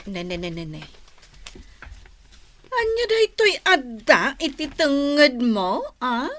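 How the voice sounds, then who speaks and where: A woman's voice: sits high, sing-song, slow pace, a bit bright, exaggerated voice. A woman playing a jealous, nagging, suspicious wife in a Filipino radio comedy-drama, speaking probingly with a Filipino accent. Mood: suspicious, accusing.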